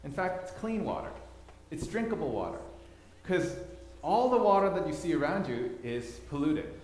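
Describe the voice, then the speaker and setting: A man's voice: on the high side, very animated, moderate pace, darkish tone. A man from Thailand giving a sermon with slides, telling the story with repeated words for emphasis. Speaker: preacher. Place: church hall. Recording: echoey hall, long reverb.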